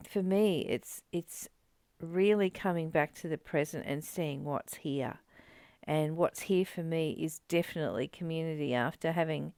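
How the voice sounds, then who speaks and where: A woman's voice: sits low, a little mellow, dry recording. A woman in a reflective spiritual discussion, speaking in a personal, conversational way to a partner. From Australia.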